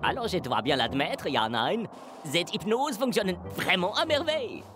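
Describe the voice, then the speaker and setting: A man playing a smug, scheming villain in an animated cartoon, suave and measured. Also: sits high, music in the background. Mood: pleased.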